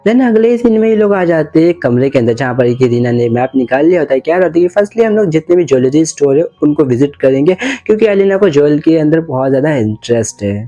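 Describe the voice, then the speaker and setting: A man's voice: fairly high pitch, expressive, quick pace, few pauses, warm tone, soft background music. A man narrating a comic story recap informally, mixing in English words and voicing the dialogue, on slightly dull audio.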